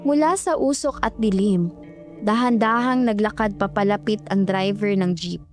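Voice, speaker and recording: A woman narrator tells a horror story in a dramatic style. Her voice sits at a middling pitch, with music playing softly behind. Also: moderate pace.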